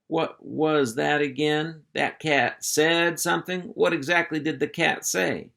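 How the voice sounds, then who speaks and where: A man's voice: a little high, fairly even intonation, measured pace, creaky, bright, forceful tone, pitched above the performer's own voice, full character voice. A man voicing a boastful, self-important male cow dog who bosses a pack of pups, with a folksy drawl. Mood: blustering. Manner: folksy drawl, bossy and blustering.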